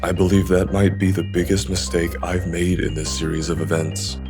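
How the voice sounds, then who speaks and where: A man plays a guilt-ridden, fearful loner in a visual novel drama. His low voice is measured and earnest, like a confession, with music clearly playing underneath. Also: level tone. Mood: somber confession. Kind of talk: visual novel English fan dub, dramatic dialogue.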